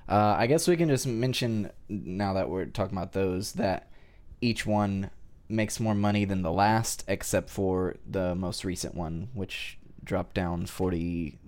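A man's voice: sits low, a little slow, hardly any pauses, a little mellow. A man co-hosting a casual discussion, adding facts in a tentative, matter-of-fact way. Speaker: podcast co-host.

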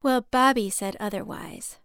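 A woman's voice: mid-range voice, a little slow, creaky, a bit bright, smooth, no room sound, clean studio sound. A woman voicing an anxious, dutiful adult daughter who pleads and placates, in an audiobook story. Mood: reproachful.

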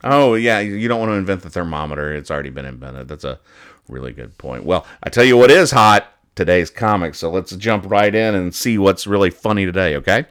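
A man hosting a casual, humorous show, giving halting, mock-earnest commentary as he goes. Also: sits low, expressive, fairly bright tone, clean tone. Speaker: host of a comics-reading show.

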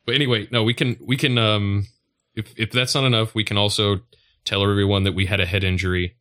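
A man's voice: fairly low pitch, fairly even intonation, a little fast, fairly bright tone. A man co-hosting a comedy podcast, chatting casually in an irreverent, joking way. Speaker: podcast co-host.

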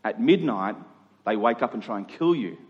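A man's voice: lowish voice, animated, normal speed, lo-fi sound. A middle-aged man preaching in a conversational expository style, using rhetorical questions and emphatic repetition. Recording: long hall reverb.

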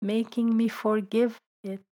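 A woman, a writer and translator, reading her own reflective essay in a calm, thoughtful way, sometimes dropping to a soft whisper. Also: on the high side, unhurried. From Belgium. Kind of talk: reflective personal essay narration.

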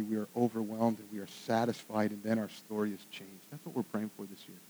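A man preaching as a church pastor, animated and conversational, with quick asides to the congregation. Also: medium pitch, low-quality recording. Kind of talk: sermon.

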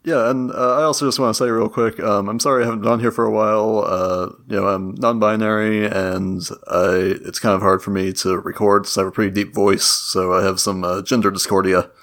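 A man chatting on a casual podcast, deadpan and hesitant with fillers. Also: fairly low pitch, fairly quick, few pauses. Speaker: podcast co-host. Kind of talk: casual group podcast chat.

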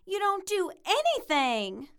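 An actress plays a snippy young woman in a comic audio drama. Her voice is high, and she is curt and indignant with everyone. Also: wildly swooping pitch, slow pace, fairly bright tone, heavily acted.

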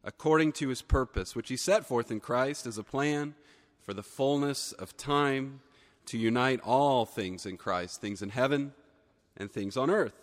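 A man preaching a sermon, a little hoarse from a cold, earnest and building emphasis on key phrases. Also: medium pitch, a bit bright, long reverb tail. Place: church sanctuary.

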